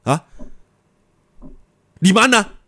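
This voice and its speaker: A young man speaking an ASMR roleplay monologue as a disappointed boyfriend, low and intimate, scolding and at times rising to anger. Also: lively intonation, drawn-out words, sharp tone, no echo.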